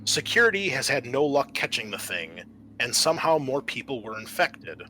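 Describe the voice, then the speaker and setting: A man working as game master, narrating a tabletop roleplaying scenario with measured, storyteller-like scene-setting. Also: mid-range voice, very animated, pushed and bright, a little hiss or hum.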